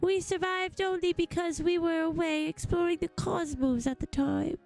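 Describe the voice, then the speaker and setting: A woman voicing an earnest, gentle alien refugee in a video game, speaking in formal, mournful phrases. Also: very high voice, fairly even intonation, moderate pace, gravelly, soft background music, pitched above the performer's own voice. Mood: solemn. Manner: formal, elaborate wording.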